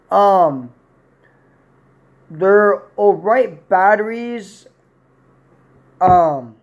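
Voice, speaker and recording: A man in his twenties giving a casual, unscripted product review to camera in a small room, rambling and correcting himself as he goes. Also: high voice. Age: 20s. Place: small plain room indoors.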